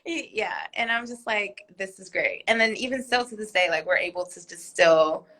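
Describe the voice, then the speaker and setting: A woman's voice: medium pitch, moderate pace, a bit bright. A woman whose first language is Korean, speaking as a guest in a casual interview over a video call, earnest and reflective. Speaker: guest, business owner.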